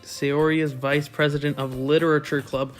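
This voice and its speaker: A man's voice: a little high, flat delivery, normal speed, soft background music. A young man reading a visual novel aloud for a gaming video, with crude, irreverent asides. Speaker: let's play narrator.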